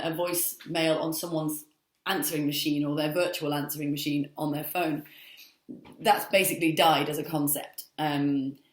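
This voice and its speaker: A woman in her thirties from England, giving a chatty, reflective spoken monologue with frequent ums and restarts. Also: low-pitched. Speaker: speaker giving a practice speech. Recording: echoey room.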